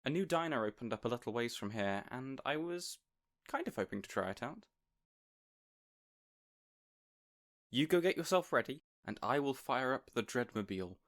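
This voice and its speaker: A man's voice: medium pitch, lively intonation, brisk, fairly bright tone, close to an everyday voice. A man playing an affable supervillain boss in an audio roleplay, chatty and awkwardly earnest, playfully bossy with an assistant. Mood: playfully insistent. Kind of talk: audio roleplay acting addressed to listener.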